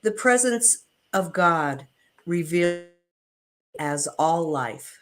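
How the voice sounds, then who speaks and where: A woman with a low voice leads a spiritual reading. She takes her time, delivering the text slowly and deliberately in short, measured groups of words. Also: animated, fairly bright tone. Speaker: affirmation and reading leader. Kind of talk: scripted inspirational reading.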